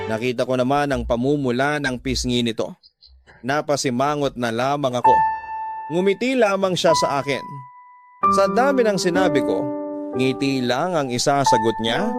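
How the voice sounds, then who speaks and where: A Filipino man reading a dramatic first-person story in an earnest, deliberate way, phrase by phrase. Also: mid-range voice, lively intonation, loud music behind the voice. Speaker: radio drama storyteller reading a listener's letter. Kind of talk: dramatic scripted first-person narration, mixing Tagalog and English.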